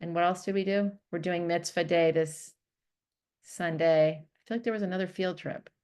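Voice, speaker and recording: A woman's voice: lowish voice, steady tone, normal speed, darkish tone, clear, resonant tone. Chatty woman on a video call. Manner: chatty, upbeat, frequent fillers and restarts. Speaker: religious school director. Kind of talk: casual presentation on a video call.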